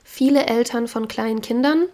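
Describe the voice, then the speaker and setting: A woman hosting a podcast, speaking frankly and with opinions while hoarse from a cold. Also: a little high, normal speed, warm tone. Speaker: podcast host. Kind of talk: casual solo podcast talk.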